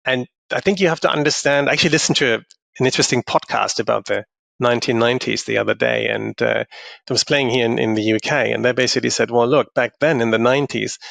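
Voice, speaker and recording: Gravelly German man, interview guest. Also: medium pitch, fast talker, a bit bright, no room sound. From northern Germany. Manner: thoughtful, many restarts. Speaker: guest, investor. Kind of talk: podcast interview.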